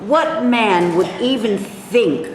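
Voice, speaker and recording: A woman's voice: medium pitch, animated, takes it slowly. Woman acting a sour businessman in echoey hall. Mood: combative, cynical. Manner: curt, argumentative retorts. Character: sour, combative small businessman, cynical and thrice divorced. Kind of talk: staged reading of comic dialogue. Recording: echoey hall, background noise.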